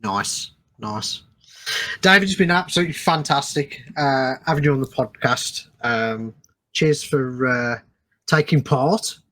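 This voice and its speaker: A man's voice: mid-range voice, measured pace, fairly bright tone. A man hosting a podcast interview in an informal, chatty way with short interjections. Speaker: podcast host. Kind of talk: casual interview chat.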